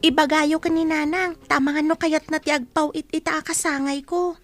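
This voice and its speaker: Very high-pitched plaintive child played by a woman. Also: fairly even intonation, fairly quick, close to an everyday voice. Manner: plaintive, questioning. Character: young child, plaintive, hurt by absent mother. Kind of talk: radio drama acting. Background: music.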